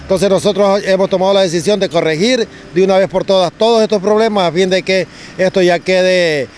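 A middle-aged Ecuadorian man with a fairly high voice talks at a street work site, stopping and restarting with many fillers. Reporters hold mics close to him. Faint traffic and street noise hang behind. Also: a little fast. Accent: Ecuadorian Spanish. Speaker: public works director. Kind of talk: on-site news interview. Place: outdoors at a street excavation site. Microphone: handheld reporter mics held close.

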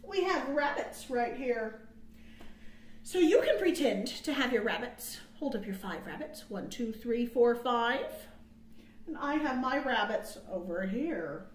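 An American woman in her thirties hosting storytime for young children, with sing-song, drawn-out phrasing, recorded indoors on a webcam mic. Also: high-pitched, expressive. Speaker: children's librarian, storytime host. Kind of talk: storytime read-aloud and counting rhymes for children. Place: small quiet room indoors. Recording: roomy webcam sound.

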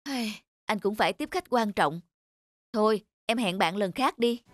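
A woman playing a busy, anxious, suspicious husband and son in a cartoon, with a brisk, fretful manner. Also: mid-range voice, animated, close to an everyday voice. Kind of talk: cartoon voice acting. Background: music.

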